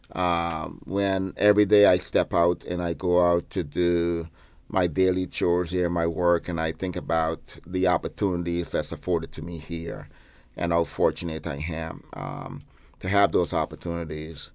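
A middle-aged man from Guyana answering interview questions, halting with many pauses and restarts. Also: deep voice, gravelly, clear, resonant tone, no room sound. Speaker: interviewee, former Army staff.

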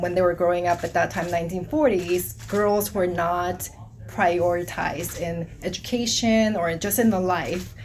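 A woman's voice: lowish voice, a little hiss or hum. A middle-aged woman from South Korea, an army hospital deputy commander, earnestly answering interview questions while searching for her words.

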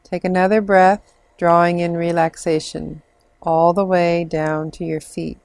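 A woman guides a meditation in a soothing, measured way. Her voice sits slightly low and moves very slowly, with long pauses. The recording is close and dry. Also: flat delivery, creaky, a little mellow, no room sound. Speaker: meditation guide, clinical social worker.

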